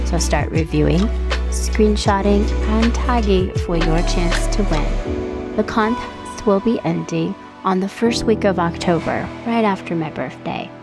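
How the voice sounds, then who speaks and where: Woman podcast host, effusive flowery delivery, mid-pitched voice, music bed behind. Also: gravelly. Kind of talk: podcast interview, read intro.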